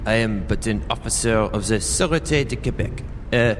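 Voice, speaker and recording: An actor playing a sly, courteous Quebecois police officer with a Quebec French accent in a parody radio drama. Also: male voice, lowish voice, moderate pace, in a large hall, a little hiss or hum, natural voice. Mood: conspiratorial. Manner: theatrical, courteous, drops in French words.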